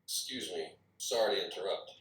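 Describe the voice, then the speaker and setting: A man playing a polite, businesslike federal agent, measured and direct, at a drama script reading. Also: low voice, fairly bright tone. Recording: echoey, distant call audio.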